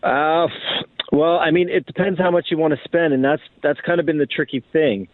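A man's voice: a little high, moderate pace, no room sound. A man giving conversational hockey analysis as a guest reporter, heard over a thin phone line.

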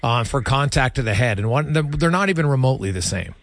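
A man's voice: mid-range voice, animated, fairly quick, dry recording. A man hosting casual sports radio talk in a conversational, opinionated way, close on the microphone.

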